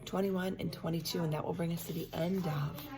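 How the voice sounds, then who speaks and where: A woman's voice: lowish voice, fairly even intonation, a little fast, vocal fry, crowd noise. Woman reading a children's storybook aloud. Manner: engaging, teacherly, asking listeners questions. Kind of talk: expressive children's storybook read-aloud with asides to listeners.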